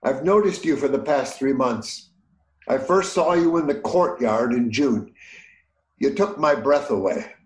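A man's voice: mid-range voice, expressive, measured pace, echoey room, close to an everyday voice. An actor playing an earnest, smitten older man in a romantic comedy audio play, speaking in a wordy, old-fashioned, poetic way. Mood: earnest, confessing.

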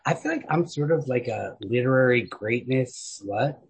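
Very raspy, creaky man chatting casually. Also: mid-range voice, lively intonation, normal speed. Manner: informal, profane, rambling and opinionated. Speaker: podcast co-host. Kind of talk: casual literary chat.

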